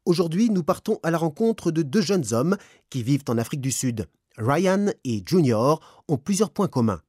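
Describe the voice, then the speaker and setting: A man's voice: on the high side, sing-song, normal speed, darkish tone, husky, no echo, clean studio sound. A man presenting a documentary with scripted narration.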